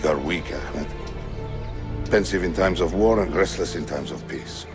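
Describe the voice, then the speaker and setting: A man's voice: low voice, measured pace, over music. An actor playing a proud, ambitious Ottoman military captain in a video game, formal, hard and blunt. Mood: contemptuous. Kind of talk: video game cutscene acting.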